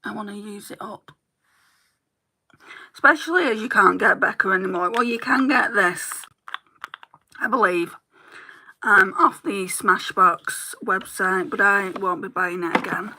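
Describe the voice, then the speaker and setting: A woman's voice: mid-range voice, unhurried, pauses often, sharp tone, low-quality recording. A British woman chatting casually while she does her makeup live, greeting viewers by name in a rambling way, her throat getting a little sore. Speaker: beauty vlogger, livestream host.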